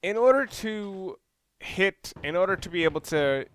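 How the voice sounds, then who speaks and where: A man's voice: high voice, expressive, unhurried, fairly bright tone, a little hiss or hum. A man giving casual, offhand and somewhat rambling commentary while playing a video game. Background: video game music.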